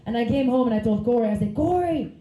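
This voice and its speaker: A woman tells stand-up stories in a rambling way that keeps breaking into giggles. Her voice sits a bit high with a soft, mellow tone. The stage mic picks up an echoey club room around her. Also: animated, quick pace. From Finland. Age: 30s. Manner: chatty, giggly, rambling asides. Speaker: stand-up comedian. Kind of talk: stand-up comedy set.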